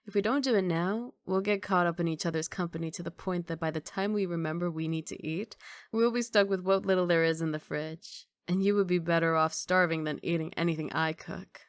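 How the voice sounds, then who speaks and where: A woman acts out a young mafia boss girlfriend with a lowish voice and a very dry, close sound. She is confident and doting, teasing her partner playfully in an intimate one-sided ASMR roleplay. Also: fairly quick, clean tone, no echo, lightly acted. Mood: affectionate, assured.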